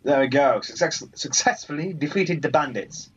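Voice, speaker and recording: A man's voice: fairly high pitch, expressive, moderate pace, a little hiss or hum. A man giving casual, rambling let's play commentary on a video game. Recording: muffled sound, game music behind. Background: video game music.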